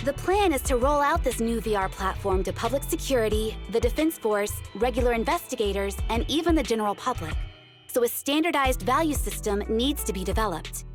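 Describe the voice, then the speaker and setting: A woman's voice: fairly high pitch, animated, normal speed, soft background music, lightly acted. A woman playing a composed, strict female deputy chief in a video game, formal, precise and matter-of-fact.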